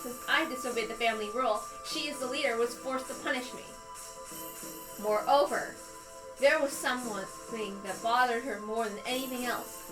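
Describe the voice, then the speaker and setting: High-voiced woman narrating a dramatic story. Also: moderate pace, roomy sound, rough recording. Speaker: narrator reading a visual novel aloud. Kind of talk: dramatic first-person narration. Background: background music.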